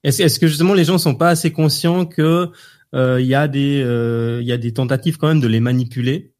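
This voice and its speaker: A man, an interviewer, asking questions in a halting way with fillers. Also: mid-range voice, steady tone, a little mellow.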